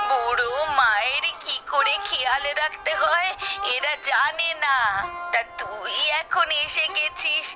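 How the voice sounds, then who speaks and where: A woman voicing a complaining elderly village mother in a cartoon, with a slow, querulous delivery. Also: sits high. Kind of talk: cartoon voice acting. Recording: dull sound with music underneath.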